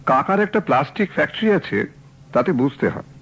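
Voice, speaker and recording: An actor playing a young nephew who wants to be an actor, talking in a casual, evasive way. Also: male voice, fairly high pitch, expressive, quick pace, fairly bright tone, husky, heavily acted. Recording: dull old radio recording, faint hiss.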